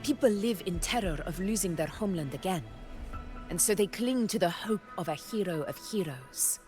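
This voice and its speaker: Low-voiced proud warrior queen played by a woman. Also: expressive, a little mellow, close to an everyday voice. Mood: proud, resolute. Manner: formal, measured, commanding. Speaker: video game voice actor. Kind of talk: dramatic game dialogue. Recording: music behind the voice. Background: background music.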